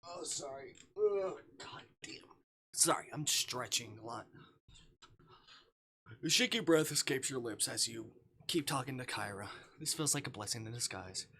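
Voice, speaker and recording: A man's voice: medium pitch, lively intonation, moderate pace, long pauses, bright, forceful tone. A man streaming himself reading a visual novel, narrating and tossing out casual, swearing asides. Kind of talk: live stream game reading and commentary.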